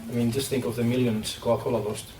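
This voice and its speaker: A man's voice: medium pitch, level tone, fast talker. A middle-aged man with a Greek accent, speaking earnestly on a roundtable panel, with many fillers and restarts. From Greece. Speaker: panelist working with athletes. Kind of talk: roundtable discussion. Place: event room. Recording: distant mic in an echoey, noisy room.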